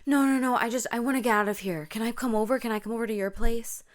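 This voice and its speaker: A woman's voice: medium pitch, moderate pace, darkish tone, lightly acted. A woman voicing a panicked, pleading young woman on the phone to a friend in a scary story, with frantic, repetitive speech. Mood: frightened, pleading. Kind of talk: spoken scary-story dialogue.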